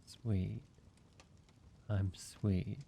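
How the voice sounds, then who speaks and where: A man playing a lonely, courteous, softly wry vampire in a cozy audio roleplay, speaking in a measured, intimate way with pauses. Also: on the low side, little pitch movement, vocal fry, slight background noise, exaggerated voice. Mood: tender, vulnerable. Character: lonely, gentle, teasing male vampire, centuries-weary and courteous. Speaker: amateur voice actor. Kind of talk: cozy scripted audio roleplay, addressed to one listener.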